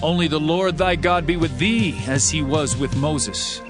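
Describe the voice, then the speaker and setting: An earnest, loyal male follower played by an actor declaims in a high voice, with music underneath. The setting is an ancient scripture drama. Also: measured pace, natural voice. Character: loyal Israelite man pledging obedience.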